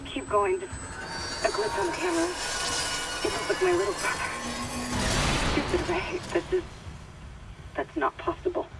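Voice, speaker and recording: A woman's voice: very high-pitched, very animated, measured pace, pauses often, over loud music, exaggerated voice. A woman playing a tense, cynical and shaken crew member in a video game, edgy and confiding. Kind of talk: dramatic video game acting. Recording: distant voice in heavy noise.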